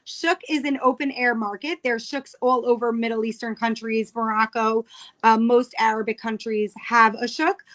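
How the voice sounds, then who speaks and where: Higher-voiced chatty American woman. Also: fairly even intonation, moderate pace, fairly bright tone. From New York, United States. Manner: chatty, explanatory, detailed. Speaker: guest, cookbook author. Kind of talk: casual interview chat.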